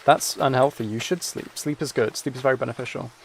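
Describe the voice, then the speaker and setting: A man's voice: medium pitch, fast, poor audio. Man chatting over a game, music behind. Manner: rambling, musing aloud. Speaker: live streamer. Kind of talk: casual chat with viewers while gaming.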